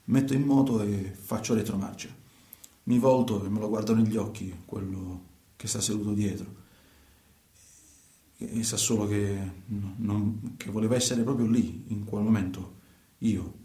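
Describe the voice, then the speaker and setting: An actor playing a man who tells his own night-driving story in a halting, repetitive, colloquial radio monologue. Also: fairly low pitch, fairly even intonation, warm tone, lightly acted. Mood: brooding, hushed. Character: agitated male night driver telling his own story. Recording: roomy, echoing sound.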